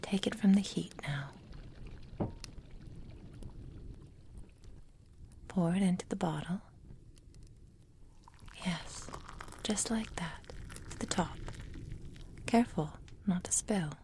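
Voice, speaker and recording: Slow, whispering possessive witch played by a woman. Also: fairly low pitch, a little mellow. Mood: coaxing. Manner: slow, breathy whispering, doting and coaxing. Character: possessive, soothing yandere witch, long-lived and secretive. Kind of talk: whispered ASMR roleplay.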